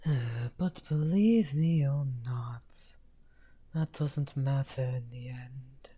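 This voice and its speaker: A man playing a misunderstood outcast necromancer wizard, smugly amused and taunting, in an unhurried, breathy, often half-whispered ASMR roleplay. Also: mid-range voice, mellow. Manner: unhurried, taunting, drawn-out phrasing. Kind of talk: slow theatrical ASMR roleplay.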